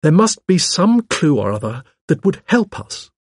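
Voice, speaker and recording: A man's voice: on the high side, big pitch swings, a little slow, husky, dry recording, studio quality, pitched above the performer's own voice, heavily acted. A man voicing a spirited, outspoken young wife with an impulsive, emphatic manner in an audiobook. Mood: eager. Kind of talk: audiobook character dialogue.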